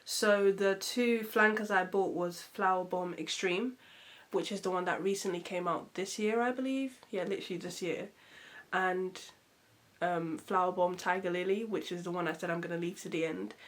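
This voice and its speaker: A woman reviewing perfumes in a casual vlog. She sounds nasal and muffled from a cold, and she talks in a chatty, expressive way with lots of fillers. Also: fairly low pitch, normal speed. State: congested from a cold.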